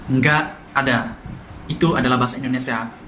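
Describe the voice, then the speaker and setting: A man from Sabah with a Sabah Malay accent, explaining language differences in a quick, lively vlog style and mixing in English words. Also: mid-range voice. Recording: echoey room with faint noise.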